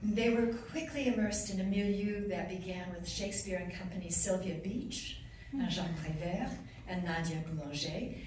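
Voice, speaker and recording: A woman's voice: fairly low pitch, normal speed, few pauses, darkish tone. A woman giving an award acceptance speech at a podium in an ornate reception room, recorded from far off. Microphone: podium mic, recorded from far off. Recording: echoey room, far from camera, noisy.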